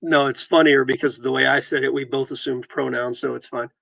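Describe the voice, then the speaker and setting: A man co-hosting a casual real estate talk show, reading headlines aloud and joking, with halting restarts. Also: fairly even intonation, gravelly, fairly bright tone, no room sound. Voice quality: deep voice. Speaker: podcast host and realtor.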